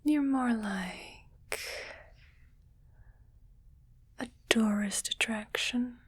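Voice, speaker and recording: Wealthy alien menagerie owner, played by a woman: hushed, very breathy ASMR delivery, unhurried, condescending, sweetly possessive. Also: fairly high pitch. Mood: smug, possessive. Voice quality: breathy whisper. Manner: slow, condescending, coaxing. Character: smug, wealthy female alien collector of human pets. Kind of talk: ASMR roleplay, whispered monologue.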